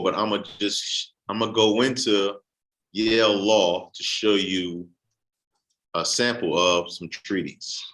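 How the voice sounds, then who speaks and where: A man giving a casual explanatory talk, reading a text aloud with halting restarts. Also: lowish voice, fairly bright tone. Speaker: host.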